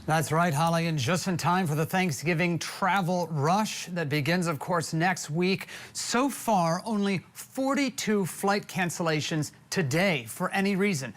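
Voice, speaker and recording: A man's voice: high voice, a little slow, few pauses, a bit bright. A man giving a live television news report to an anchor as a correspondent.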